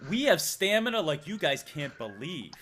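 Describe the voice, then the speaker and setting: A man's voice: on the high side, big pitch swings, moderate pace, a loud crowd. A man chatting on a live stream, rambling playfully and reading out viewer donations. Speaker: livestreamer.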